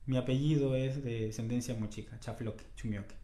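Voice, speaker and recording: A man's voice: medium pitch, fairly even intonation, brisk, mellow, reverberant. A man from Callao, a street dancer, speaking hesitantly in documentary testimony. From Callao, Peru.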